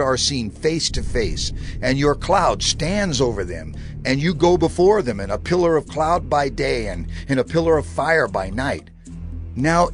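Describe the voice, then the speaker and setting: An actor playing an earnest prophet, imploring and reverent, in a dramatized audio Bible. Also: male voice, medium pitch. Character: Moses, earnest male prophet pleading with God.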